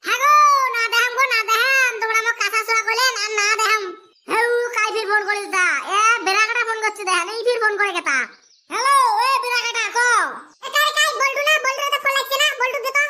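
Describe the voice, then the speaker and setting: A man voicing an excitable, squabbling village character in a comedy cartoon, with a squeaky pitch-raised voice and a rural northern Bengali dialect. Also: quick pace. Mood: bickering, excited. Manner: exclaiming, bickering, repeating phrases.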